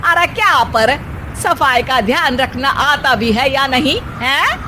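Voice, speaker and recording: A woman's voice: high-pitched, animated, slow, noisy background. A woman voicing a bossy, fussy middle-aged mother-in-law who scolds her daughter-in-law in a cartoon story. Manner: fussy, scolding, ends with a nagging "हैं?".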